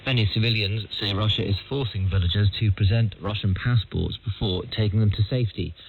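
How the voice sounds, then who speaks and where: Deep-voiced newsman on narrow, crackly shortwave. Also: fairly quick, vocal fry. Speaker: radio news presenter and interviewer. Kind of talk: broadcast news interview. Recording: muffled shortwave radio broadcast with static. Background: static and hiss.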